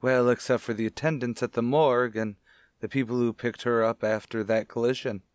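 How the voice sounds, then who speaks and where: A man's voice: mid-range voice, fairly even intonation, fairly quick, no echo, clean studio sound, lightly acted. An actor playing an anguished, self-pitying widowed father in an old-time radio play, melodramatic and distraught. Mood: grieving, evasive.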